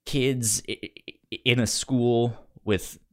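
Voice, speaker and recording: A man talks slowly in a casual podcast chat. His delivery is halting, with a stammer that repeats opening sounds, and he keeps a conversational tone at an average male pitch. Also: fairly even intonation. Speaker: podcast co-host.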